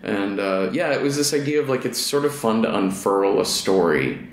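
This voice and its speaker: A man's voice: fairly low pitch, expressive, normal speed, roomy sound, slight background noise. A man being interviewed as a guest, chatting casually and affably as he thinks aloud. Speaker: podcast guest, fiction writer.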